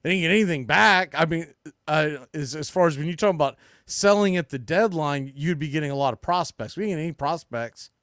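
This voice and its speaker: A man with a slightly high, very clear and smooth voice, recorded close and dry. He delivers opinionated baseball commentary as a podcast host, leaning hard on key words and stretching them out for emphasis. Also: a little fast, fairly bright tone, no echo.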